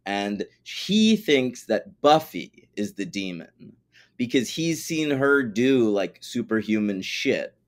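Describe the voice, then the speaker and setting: A man's voice: on the low side, big pitch swings, slow pace. A man co-hosting a podcast, talking bluntly and swearing freely in a casual, teasing recap chat. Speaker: podcast co-host.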